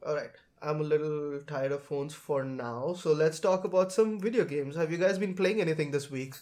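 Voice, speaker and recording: A man hosting a relaxed, conversational podcast about gadgets and games. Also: fairly high pitch, normal speed. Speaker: tech podcast host. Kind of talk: casual tech and gaming discussion.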